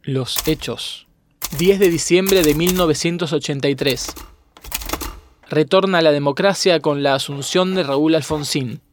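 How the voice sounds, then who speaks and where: A man's voice: a little high, measured pace, slight background noise. A man reading scripted narration for an audio documentary. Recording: music bed under voice. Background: music.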